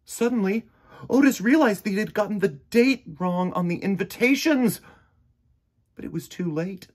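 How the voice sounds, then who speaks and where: A man reading a children's picture book aloud in an expressive, dramatic way, with swells and hushes. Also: sits high, normal speed. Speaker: children's storybook reader. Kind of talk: animated read-aloud narration of a picture book.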